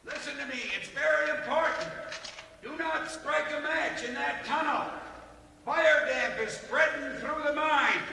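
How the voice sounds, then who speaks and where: An actor playing a scheming villain who gives urgent, emphatic warnings through a hollow speaking tube in a 1950s radio western. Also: male voice, very high-pitched, fairly even intonation, a little slow, gravelly, sharp tone, heavily acted. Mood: urgent, deceitful. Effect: distant hollow speaking-tube echo. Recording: echoey, distant, faintly hissy vintage radio recording.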